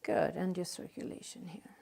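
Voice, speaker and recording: A woman conducting a medical exam, giving calm, polite step-by-step instructions with short acknowledgements. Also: moderate pace.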